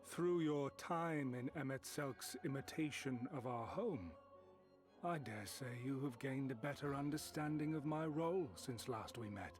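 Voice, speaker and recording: Slow, disdainful ancient villain played by a man. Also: medium pitch, natural voice. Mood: cold, revealing. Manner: measured, archaic, formal, disdainful. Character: ancient male Ascian emissary, cold, condescending schemer. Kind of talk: dramatic fantasy video game acting. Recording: music behind the voice.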